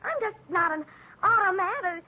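Very high girlish American secretary, animated swoops, earnest, sentimental, naive, flustered 1940s radio comedy-drama, tinny sound. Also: normal speed. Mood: indignant, flustered. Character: fluttery, sentimental, earnest young female secretary. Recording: tinny noisy old radio recording.

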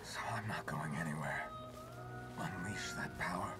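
A brash, devoted soldier. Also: male voice, a little slow. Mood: tender. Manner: gruff and brash, then low tender murmuring. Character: rough-edged male soldier, cocky, devoted lover. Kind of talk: video game cutscene voice acting. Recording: game audio under loud music.

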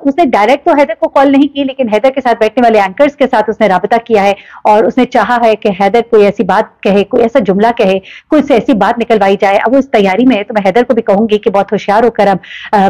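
A woman, commenting to camera in a vlog with animated, ironic storytelling. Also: medium pitch. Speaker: vlogger. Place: living room indoors.

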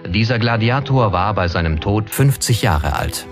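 Deep-voiced male documentary narrator. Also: animated, normal speed. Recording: music under the voice. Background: background music.

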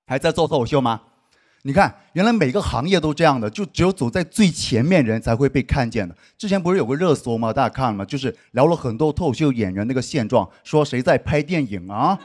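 Animated young male stand-up comic. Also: medium pitch, quick pace. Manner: self-mocking, chatty, keeps checking with the crowd. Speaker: stand-up comedian. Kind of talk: stand-up comedy set. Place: large studio stage before an audience. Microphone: handheld mic. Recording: live stage mic with audience laughter.

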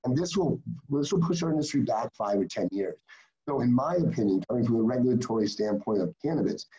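A man's voice: medium pitch, lively intonation, quick pace, warm tone, dull audio. A man in his forties speaking as a panelist over a video call, making earnest, formal points about regulation. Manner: earnest, formal policy talk.

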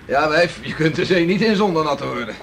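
Rough boastful beachcomber, fairly high voice, noisy old radio play. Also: male voice, lively intonation, a little fast, fairly bright tone. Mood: bluff, hearty. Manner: bluff, emphatic. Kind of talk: 1960s radio play acting.

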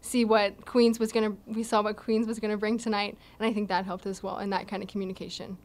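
Young woman, flat steady athlete voice. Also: mid-range voice, quick pace. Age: young adult. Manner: earnest, steady, with light laughs and restarts. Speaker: college volleyball player. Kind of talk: postgame interview answers. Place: indoor press backdrop, seated. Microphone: table mic in front of her.

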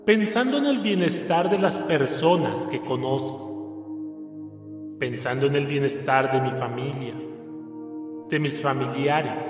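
A man leading a slow recited prayer, declaiming affirmations in a solemn, measured way. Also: fairly high pitch. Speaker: prayer leader. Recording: reverberant hall with soft music.

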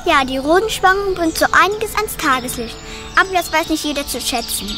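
A woman acting in a children's radio play in a lively, eager way, with music behind her. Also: extremely high pitch, brisk. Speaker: amateur radio play actor.

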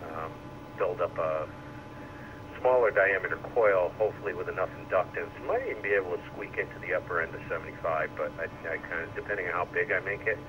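A man chatting casually over two-way radio as an amateur operator, rambling and thinking out loud. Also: low-pitched, fast talker. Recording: radio speaker re-recorded with loud noise.

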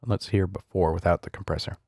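A man narrating a screencast software tutorial in a casual, explanatory way, close to a studio microphone. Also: deep voice, steady tone, quick pace, no echo. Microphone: close studio voice-over microphone.

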